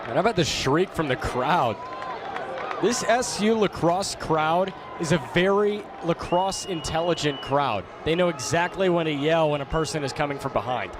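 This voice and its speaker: A man's voice: on the high side, lively intonation, normal speed. A man working as a color commentator, giving emphatic, informal, conversational analysis during a live game. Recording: loud crowd noise behind commentary. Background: crowd and arena noise.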